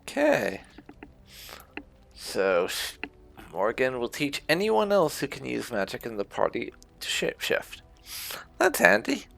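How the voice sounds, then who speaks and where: A man's voice: mid-range voice, huge pitch swings, measured pace, creaky, long pauses, a bit bright. A man hosting a video game playthrough with casual commentary, musing to himself as he goes.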